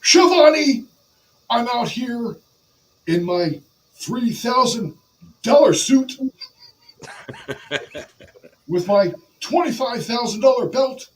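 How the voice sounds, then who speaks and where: A man voicing a flamboyant, boastful pro wrestler with a drawn-out, whooping bragging cadence. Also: very high-pitched, animated, distant mic, voice pushed up, heavily acted, low-quality recording. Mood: swaggering, boastful.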